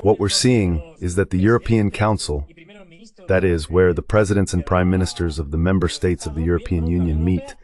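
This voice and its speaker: A deep male voice delivers translated political commentary as a guest analyst. The phrasing is conversational and emphatic, staying low in pitch throughout. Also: fairly even intonation, normal speed, a little mellow.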